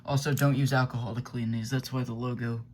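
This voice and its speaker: A man talks through gear recommendations in an offhand, unscripted way. His voice sits at a medium pitch and he feels his way loosely through each point. Some background noise and ambience sit behind him. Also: little pitch movement, fast, darkish tone. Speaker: weather radio hobbyist reviewing gear. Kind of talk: casual unscripted product review.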